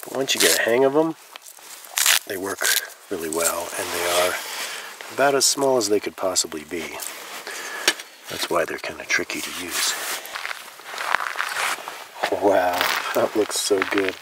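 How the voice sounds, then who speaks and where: A man's voice: deep voice, unhurried, pauses often. A man in his thirties talking casually to the camera while he cooks and eats at a forest campsite, savoring the food. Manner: relaxed, murmuring while tasting food. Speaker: outdoor cooking vlogger. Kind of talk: casual camp narration. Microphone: camera mic a few feet away. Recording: distant outdoor camera audio with noise.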